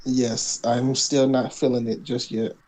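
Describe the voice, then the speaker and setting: A man co-hosting a relaxed podcast, questioning and teasing as he argues his picks. His voice is mellow and dark-toned at an everyday middle pitch. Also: measured pace, poor audio. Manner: laid-back, teasing and skeptical, laughs often. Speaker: podcast co-host. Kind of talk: casual wrestling predictions chat.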